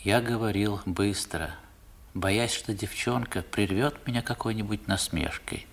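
A man acting as the narrator of a 1960s radio drama, recalling his boyhood in measured, expressive literary prose. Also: fairly low pitch, creaky. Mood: reflective. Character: grown male narrator recalling his seaside boyhood adventure.

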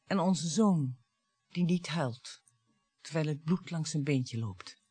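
A bitter wife and grieving mother in a radio drama. Her delivery is dramatic and taunting, with halting phrases and big swings in pitch, recorded dry and close. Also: animated, dark tone, slightly rough, dry recording, full character voice. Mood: bitter confessing. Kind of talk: Dutch radio play acting.

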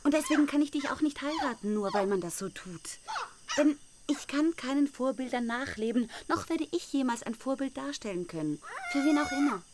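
A woman's voice: high-pitched, expressive, a little fast, noisy background. An actress playing a headstrong, idealistic young intellectual woman in a radio play, speaking earnestly and emphatically. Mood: earnest, resolute. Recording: outdoor ambience with chirping insects.

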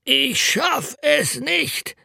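A man's voice: extremely high pitch, expressive, drawn-out words, vocal fry, bright, forceful tone, no room sound, studio quality, pitched above the performer's own voice, exaggerated voice. A man voicing a drunk, sick older road worker who groans, wails and complains in slurred speech. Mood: wailing. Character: hungover, whining older male road worker.

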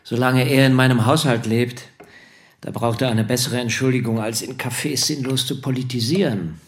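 A man's voice: mid-range voice, moderate pace, lightly acted. An actor in a German radio drama playing a domineering, self-satisfied middle-aged father, patronising in manner. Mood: stern. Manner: imperious, patronising.

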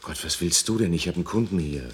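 A man's voice: sits low, fast talker, slight background noise, lightly acted. An actor playing a grumpy, impatient man at a detective agency, answering curtly in a naturalistic radio drama. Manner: curt, impatient.

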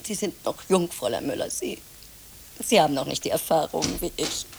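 Anxious, low-voiced older office employee. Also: female voice, expressive, a little fast, gravelly. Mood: timid. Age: middle-aged. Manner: hesitant, pleading, apologetic. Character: anxious, overworked middle-aged female employee, timid and pleading. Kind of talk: German radio play acting. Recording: hissy old radio play recording.

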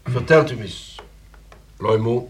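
An actor playing a stern, sardonic police commissioner who questions with dry irony in a radio drama. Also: male voice, mid-range voice, slow pace, close to an everyday voice. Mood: probing, grave. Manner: probing, dryly ironic. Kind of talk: Dutch radio drama acting.

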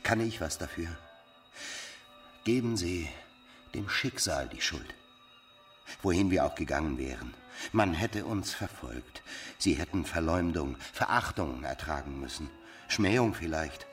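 A man's voice: rumbling low, expressive, unhurried, pauses often, fairly bright tone, faint music behind, exaggerated voice. A man playing a self-centred, callous bachelor lover in a radio drama, with theatrical, self-justifying delivery. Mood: pompous regret.

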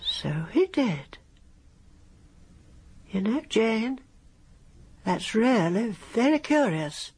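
Gushing, very animated British society lady. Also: a little high, very slow, pauses often, slightly rough, full character voice. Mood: surprised. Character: gushing, decisive upper-class lady friend, theatrically sympathetic. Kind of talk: radio drama acting.

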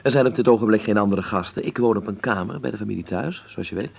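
An actor playing a fond, eager young Dutch man in radio drama dialogue, animated and bantering. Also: fairly low pitch, quick pace, creaky, slightly rough, heavily acted. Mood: amiable.

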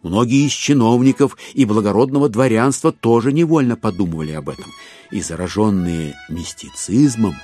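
A man narrating a classic satirical tale in a measured, ironic literary manner. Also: deep voice, a little mellow. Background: music.

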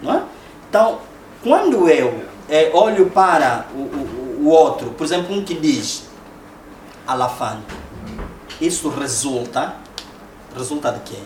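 A man's voice: a little high, big pitch swings, measured pace, pauses often, darkish tone. Angolan man lecturing in echoey room. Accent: Angolan Portuguese. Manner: conversational, rhetorical tag questions. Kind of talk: public lecture. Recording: echoey room, distant mic, noisy.

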